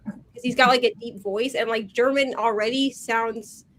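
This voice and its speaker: A woman with a high, bright-toned voice tells stories in an animated, chatty way, filling her sentences with "like." She co-hosts a relaxed conversation over a video call. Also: moderate pace. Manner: animated storyteller, heavy on "like" fillers. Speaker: co-host. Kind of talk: casual chat on a video call.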